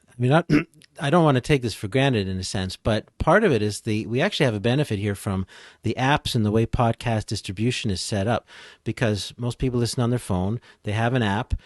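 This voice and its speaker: A man's voice: mid-range voice, brisk, no echo, clean studio sound. A man speaking as an expert guest in a conversational, explanatory way, offering encouraging advice.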